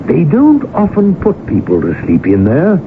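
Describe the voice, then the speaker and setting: An actor playing a courtly, fussy colonel who tells tall tales, hesitant and insinuating, in a radio drama. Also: male voice, a little high, wildly swooping pitch, moderate pace, narrow, tinny audio. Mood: ominous, confiding. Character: genial, fussy colonel, a compulsive tall-tale-telling husband. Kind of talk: 1980s radio drama acting. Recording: noisy band-limited old radio broadcast recording.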